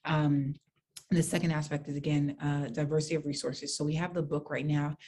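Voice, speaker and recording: A young woman being interviewed over a video call, speaking earnestly and reflectively with frequent ums and soft trailing phrases. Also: sits low, little pitch movement, fairly quick. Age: young adult. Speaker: guest, author and basketball nonprofit founder. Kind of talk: virtual interview conversation.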